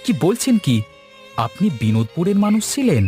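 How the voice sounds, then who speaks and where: A man's voice: high-pitched, very animated, brisk, mellow, soft background music. A man voicing a kind, curious young village lad in a cartoon, polite and inquisitive. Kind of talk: Bengali moral-story cartoon dubbing.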